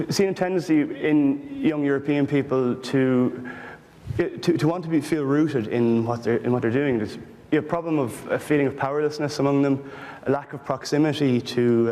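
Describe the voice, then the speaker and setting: A man from Ireland with an Irish accent, speaking earnestly but haltingly on a panel about political research. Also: mid-range voice, a little mellow, big-room sound, slight background noise. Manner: earnest, halting, many restarts. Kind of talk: panel discussion.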